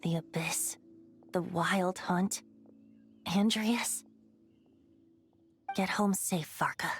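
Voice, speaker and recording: A woman playing a composed, wry character in a video game, speaking in a measured, poised way. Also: lowish voice, fairly even intonation, soft background music. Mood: wistful, hushed.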